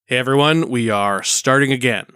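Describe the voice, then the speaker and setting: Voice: mid-range voice, normal speed, fairly bright tone, clean tone, dry recording, studio quality, close to an everyday voice. An actor playing a harried, accommodating superhero director of a musical in an audio drama. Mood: businesslike.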